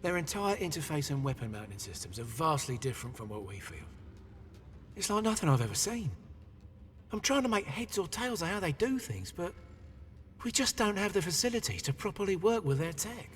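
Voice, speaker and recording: Casting: practical ship's tech in a game cutscene. Higher male voice, very animated intonation, casual. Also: a little fast. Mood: matter-of-fact. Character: practical, tech-savvy male mercenary mechanic. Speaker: video game character. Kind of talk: cinematic game voice acting.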